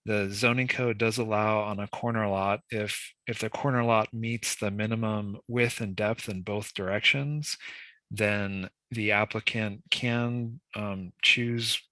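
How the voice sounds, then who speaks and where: A man, a senior city planner, presenting a staff report at a public meeting, congested from a cold and talking in a measured way with frequent ums. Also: lowish voice, fairly even intonation, pushed and bright, no echo.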